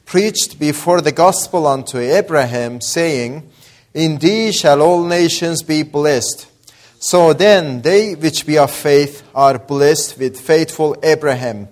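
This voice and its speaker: A man preaching in a slightly higher voice, his accent a blend of Azeri, Persian and Australian. He reads scripture slowly and prays, often pausing on fillers, then rises into forceful, emphatic points. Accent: Azeri, Persian and Australian mix. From Azerbaijani-Iranian background. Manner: builds from soft opening to raised emphatic preaching; hesitant fillers between phrases. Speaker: guest preacher. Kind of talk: sermon with scripture reading and prayer.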